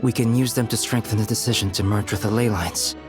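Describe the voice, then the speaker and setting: A man playing a composed, serious knight leader in a video game, laying out plans in a measured, explanatory way. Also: on the low side, steady tone, loud music behind the voice, lightly acted. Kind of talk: video game dialogue acting.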